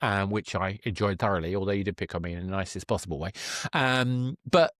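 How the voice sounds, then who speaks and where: A man's voice: sits low, a little fast, a bit bright, dry recording, studio quality. A man hosting a casual conversational interview, feeling his way through sentences with tentative, circling phrasing. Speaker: podcast host, interviewer.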